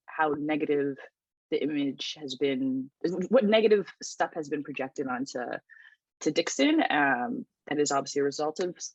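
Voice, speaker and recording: A woman's voice: low-pitched, breathy edge, no room sound, muffled recording. A woman, a writer and researcher talking thoughtfully in a casual podcast chat, with many restarts and ums. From Toronto, Canada.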